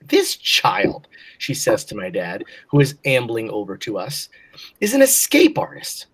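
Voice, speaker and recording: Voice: medium pitch, huge pitch swings, measured pace, husky. A teacher reading a children's novel aloud to a class and giving encouraging prompts.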